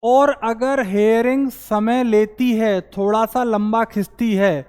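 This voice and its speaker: A man teaching as an exam coaching teacher, explaining recruitment news to students in an earnest, explanatory way and slowing down to stress his points. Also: high voice, fairly even intonation, a little mellow, clear, resonant tone, long reverb tail.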